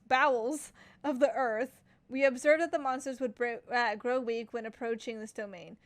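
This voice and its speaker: A woman streamer reading in-game text aloud as she plays, in a slightly high voice. She reads casually, trips over words and stops to comment. It sounds like a home gaming setup.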